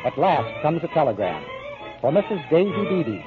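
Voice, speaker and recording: A man with a husky voice presenting a 1940s radio drama as host and announcer in scripted narration. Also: on the high side, big pitch swings, normal speed. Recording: thin phone-like old radio, music.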